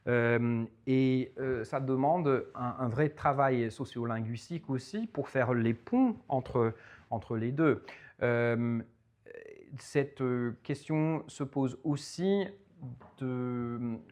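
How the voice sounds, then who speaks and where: A man delivers a public lecture at an ordinary mid pitch. He talks with frequent fillers, restarting his phrases and repeating words as he searches for them. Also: a little mellow. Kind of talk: public lecture with audience questions.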